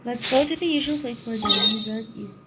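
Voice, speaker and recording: A woman's voice: on the high side, brisk, very noisy. A woman giving casual commentary as she plays through a game's story. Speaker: gamer playing through a story quest.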